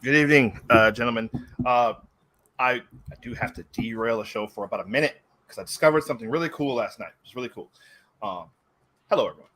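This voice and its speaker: A man's voice: mid-range voice, fast, a bit bright. A man chatting casually as a panelist on a movie talk show, speaking in an enthusiastic, digressive way. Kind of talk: casual rambling chat.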